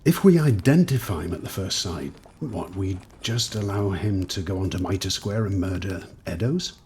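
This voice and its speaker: A man acting as a sceptical, educated character in an audio drama, husky, questioning and wry. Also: deep voice, animated, moderate pace, a little mellow, slight background noise.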